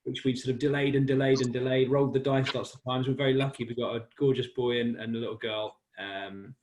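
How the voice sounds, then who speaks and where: A man's voice: medium pitch, fairly even intonation, quick pace, darkish tone, rough recording. A man in his forties from West London, talking casually and laughing easily as a guest in an interview about his life in sport. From West London, England. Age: 40s. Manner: chatty and self-deprecating, laughs easily. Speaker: guest, cycling commentator.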